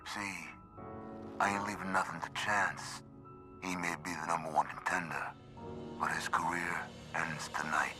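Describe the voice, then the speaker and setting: Deep, cocky, crooked prizefighter. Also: male voice, little pitch movement, unhurried. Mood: cocky, menacing. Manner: menacing, confident. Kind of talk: noir game cutscene acting. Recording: loud music behind.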